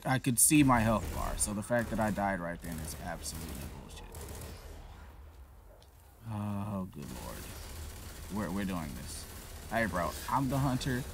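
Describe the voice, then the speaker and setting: A man giving casual, profane gameplay commentary, griping in exasperation as he plays a shooter. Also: fairly low pitch. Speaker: gaming video creator playing a shooter. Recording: clear game noise behind the voice. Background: shooter game sounds.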